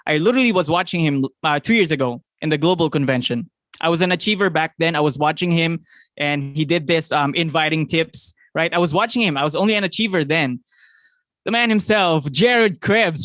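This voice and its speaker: A young man with a Filipino accent giving an excited, fast motivational talk to his sales team, often asking "right?" and laughing. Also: a little high, no room sound. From Philippines. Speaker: network marketing team leader.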